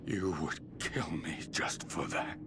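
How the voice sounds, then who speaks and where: A man's voice: low voice, slow pace, noisy background. An actor playing a stern, anguished emperor and father in a video game, grave and imperious. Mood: grim disbelief. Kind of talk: dramatic video game acting. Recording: loud game music behind the voice.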